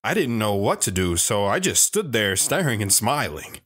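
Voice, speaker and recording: Man playing a low-voiced wistful storyteller. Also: sing-song, moderate pace. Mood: reflective. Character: wandering man recounting his youth, wistful and bashful. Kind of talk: first-person audio drama narration.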